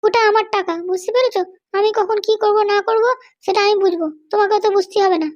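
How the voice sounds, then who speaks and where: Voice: very high voice, fairly even intonation, fast, muffled recording. A performer voicing a bossy, suspicious, scheming Bengali wife and mother in a comedy cartoon, with a childlike voice and a nagging, commanding manner. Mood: irritated, commanding. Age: middle-aged. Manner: nagging, commanding, keeps demanding to be understood. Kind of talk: animated comedy cartoon dialogue.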